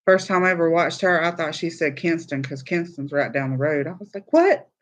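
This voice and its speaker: A man's voice: on the high side, animated, a little fast, fairly bright tone. Chatty man, slightly muffled. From born in Texas, raised in Alabama. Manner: chatty and easygoing, laughs easily. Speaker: crochet live-stream host. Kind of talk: casual live-stream chat, reading viewer comments. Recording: slightly muffled, dull stream audio.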